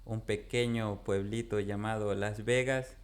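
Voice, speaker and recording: A man in his thirties from Ecuador, a missionary pastor guest describing his background in a plain, unhurried way. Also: on the low side, fairly even intonation. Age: 30s. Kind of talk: interview answers. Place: radio studio.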